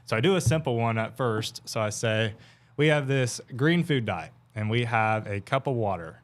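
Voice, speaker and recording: A man, an extension educator on a morning TV show, explaining in a steady, self-described monotone. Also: medium pitch, moderate pace. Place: TV studio.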